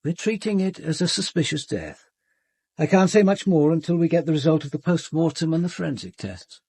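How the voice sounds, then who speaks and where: A senior British detective in a radio murder mystery. He is composed, measured and formal, always probing. His voice runs a little high and moves animatedly, with a slightly rough edge and a dry, close sound. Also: expressive, darkish tone, dry recording, heavily acted. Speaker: actor playing a police detective and narrator. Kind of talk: British radio drama acting and narration.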